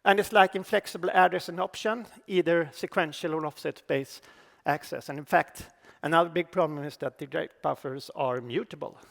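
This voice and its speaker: A middle-aged man with a Swedish accent giving a technical conference talk on stage, speaking haltingly with many fillers and restarts. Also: a little high, vocal fry, fairly bright tone. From Sweden. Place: large conference hall on stage. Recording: reverberant conference hall.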